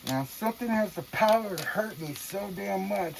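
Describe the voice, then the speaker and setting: A woman's voice: mid-range voice, lively intonation, normal speed, creaky, slight background noise, poor audio. A woman talking casually to viewers in an earnest, reflective monologue, with slangy, profane phrasing. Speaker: vlogger.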